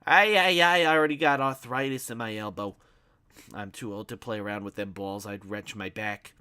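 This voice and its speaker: A man's voice: fairly low pitch, moderate pace, pushed and bright, clean tone. A man voicing a tearful, quarrelsome older mother, whining and screeching. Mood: fretful. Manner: whiny, screeching scolding.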